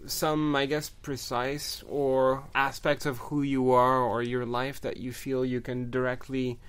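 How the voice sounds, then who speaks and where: A man hosting an interview, asking soft, hesitant questions. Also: mid-range voice, level tone, unhurried, smooth.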